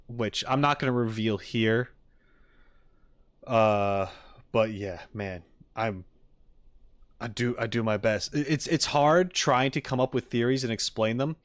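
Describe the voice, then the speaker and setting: A man's voice: mid-range voice, dry recording. Dry-sounding man, rambling streamer chat. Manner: rambling, emphatic quoting of others. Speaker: streamer reacting to game videos. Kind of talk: casual chat with viewers, theorizing.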